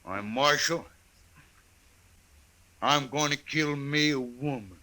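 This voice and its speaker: An actor playing a huge, simple, blunt buffalo hunter with a rustic drawl in a 1950s western radio drama. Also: male voice, medium pitch, sharp tone. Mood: grim, menacing. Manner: slow, rustic ungrammatical drawl.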